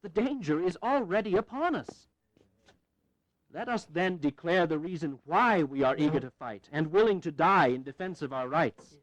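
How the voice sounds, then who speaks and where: An actor playing a resolute, fervent revolutionary statesman in an audio history drama, with firm, measured, declamatory delivery. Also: on the high side, animated, no room sound, close to an everyday voice, low-quality recording. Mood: fervent, solemn.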